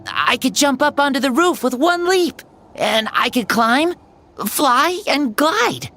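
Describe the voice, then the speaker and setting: Frail, earnest kid for a game: slow, creaky edges, wondering and halting. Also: female voice, high voice, animated, sharp tone, exaggerated voice. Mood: excited, wondering. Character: frail, earnest child waking dazed and full of wonder. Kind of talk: video game voice acting.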